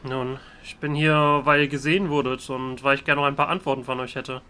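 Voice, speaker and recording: A man role-playing his video game hero's dialogue as a let's player, with a hesitant, deliberate delivery. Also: mid-range voice, little pitch movement, pushed and bright, slight background noise.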